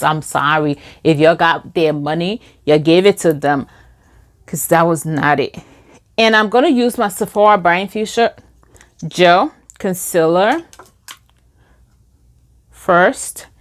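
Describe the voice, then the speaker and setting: A young woman with a Liberian English accent telling a story while doing her makeup, acting out quoted lines in a higher voice. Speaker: beauty vlogger.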